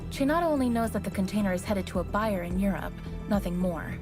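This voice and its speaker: A woman's voice: medium pitch, lively intonation, a little fast, close to an everyday voice. A woman voicing an earnest, brooding young superhero policewoman in a video game cutscene. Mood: concerned. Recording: game music behind.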